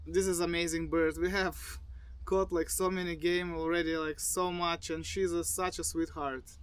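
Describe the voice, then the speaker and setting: A man in his thirties from Bulgaria with a Bulgarian accent, a falconer talking casually outdoors in a halting way with restarts. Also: high-pitched, fairly bright tone, smooth. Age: 30s. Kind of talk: casual recorded monologue. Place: outdoors in the desert. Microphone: phone.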